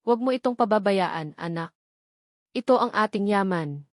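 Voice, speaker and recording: A woman's voice: mid-range voice, animated, normal speed, no room sound, clean studio sound, lightly acted. A woman voicing a loving father who tenderly and earnestly instructs his young son in a narrated story. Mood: tender, solemn.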